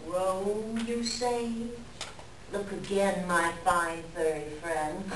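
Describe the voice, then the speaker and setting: A woman's voice: mid-range voice, steady tone, drawn-out words, a bit bright. A woman playing a haughty, scornful raven with theatrical cawing in a reader's theater performance. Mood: sly, mocking. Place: indoor library reading, distant mic. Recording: roomy, distant live reading, faint noise.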